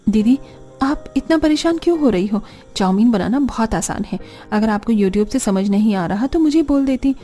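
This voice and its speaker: Woman as soft, kindly young sister-in-law. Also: a little high, expressive, normal speed, natural voice. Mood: reassuring. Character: helpful, kindly young younger daughter-in-law, patient and practical. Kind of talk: Hindi cartoon story dialogue. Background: music.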